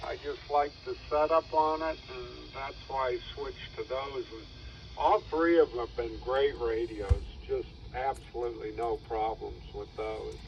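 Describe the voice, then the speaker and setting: A man chatting casually over two-way radio as an amateur operator, telling things in a rambling, unhurried way. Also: mid-range voice, steady tone. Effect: two-way radio transmission. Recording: dull radio transmission, faint noise.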